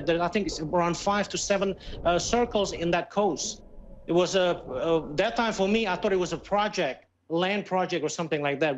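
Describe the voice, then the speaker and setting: A man in his forties with an Indonesian accent, giving a halting interview as a guest, searching for words in English over a video call. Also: on the high side, slight background noise. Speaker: airline pilot, interview guest. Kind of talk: live news interview. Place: plain indoor room. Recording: dull, lo-fi Skype call.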